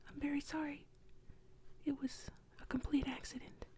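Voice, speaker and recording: A woman's voice: flat delivery, mellow, close to an everyday voice. A woman voicing a frightened village boy, whispering in a raised, pleading tone. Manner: whispered, higher and hurried, pleading.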